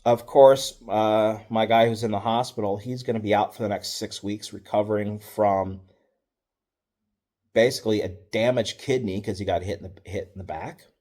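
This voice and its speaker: A middle-aged football coach recounts old seasons with a low-pitched male voice. He is casual, wry and matter-of-fact, trailing into fillers and correcting himself as he goes. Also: steady tone, normal speed, dark tone. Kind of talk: casual storytelling.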